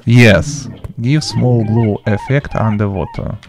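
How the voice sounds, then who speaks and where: Male game tutorial host, medium pitch, non-native English speaker, halting delivery in short chunks, light music behind. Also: huge pitch swings. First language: not English. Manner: halting, choppy phrasing with broken grammar. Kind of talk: gameplay tutorial commentary.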